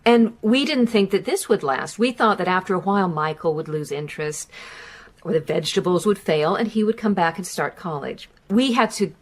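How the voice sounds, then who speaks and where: A woman's voice: lowish voice, expressive, normal speed. An actress playing a middle-aged American mother, reflective and sorrowful, recounting the loss of her son in a radio drama. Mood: sombre, reflective.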